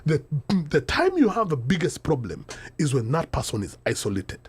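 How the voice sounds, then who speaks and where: A man's voice: a little high, wildly swooping pitch, normal speed, breathy edge. A middle-aged man with a Kenyan accent who switches between English and Sheng in mid-sentence, talking emphatically and asking rhetorical questions in a panel discussion. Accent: Kenyan, mixing English and Sheng Swahili. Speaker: podcast host.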